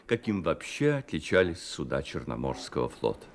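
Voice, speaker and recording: A man narrating a literary story for a Soviet-era radio play, measured and expressive, with deliberate pauses. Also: rumbling low, slight background noise. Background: music.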